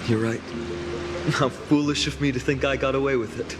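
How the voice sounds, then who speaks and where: An actor playing an earnest young male hero in a game, sincere and reflective, with quiet self-doubt. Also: medium pitch, fairly even intonation, moderate pace, music in the background, natural voice. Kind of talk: dramatic video game dialogue.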